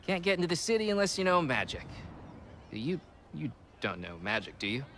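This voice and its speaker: A man's voice: fairly high pitch, a little fast, a little hiss or hum. A man voicing a chatty, nosy male refugee in a video game cutscene, with music behind. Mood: excitable. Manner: chatty, nosy, swings between excitement and doubt. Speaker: game character.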